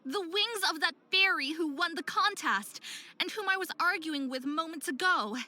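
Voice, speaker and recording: A woman's voice: extremely high pitch, animated, normal speed, sharp tone, full character voice. A young woman voicing a hot-headed, distraught young faerie skater in a visual novel game, with a dramatic, emphatic delivery. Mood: upset. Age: young adult.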